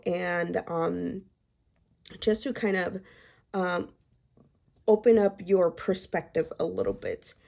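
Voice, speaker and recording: Gravelly, mellow-voiced woman vlogger. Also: mid-range voice. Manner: rambling with many fillers and restarts. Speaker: YouTube creator. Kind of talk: casual vlog talk to camera.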